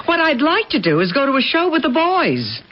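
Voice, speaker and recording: A woman's voice: sits high, wildly swooping pitch, moderate pace. An actress playing a lonely, fed-up wife in a radio drama, biting and exasperated in her delivery. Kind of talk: 1980s radio drama acting. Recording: dull lo-fi old radio broadcast.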